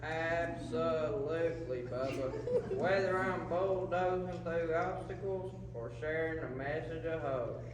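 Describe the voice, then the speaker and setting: Noisy hall. Man as slow, folksy working-man puppet, proud, slightly high voice. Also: fairly even intonation. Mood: proud, sincere. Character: slow, proud male bulldozer driver puppet. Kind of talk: scripted comedy puppet skit. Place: large echoey hall, live performance. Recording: noisy echoey hall from far off.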